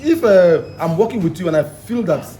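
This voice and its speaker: A man giving his opinion in a street interview, animated and firm. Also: high voice, a little fast, slight background noise, rough recording.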